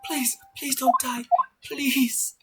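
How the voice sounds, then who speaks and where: A woman's voice: sits high, little pitch movement, slow, full character voice. A woman playing a distraught, crying woman pleading in grief in an amateur game fandub. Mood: anguished. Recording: loud music behind the voice. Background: loud music.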